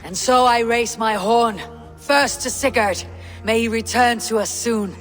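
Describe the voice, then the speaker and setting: An actress playing a proud, commanding Viking clan leader in a video game, with a measured, declamatory manner. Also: female voice, a little high, a bit bright. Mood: firm, steady. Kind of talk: video game cutscene acting. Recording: reverberant hall with music behind.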